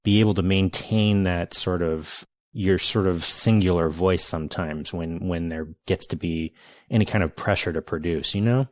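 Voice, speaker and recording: A man hosting a podcast interview, talking in a hesitant, halting way with many fillers. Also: deep voice, no echo. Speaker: podcast host. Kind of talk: casual interview conversation.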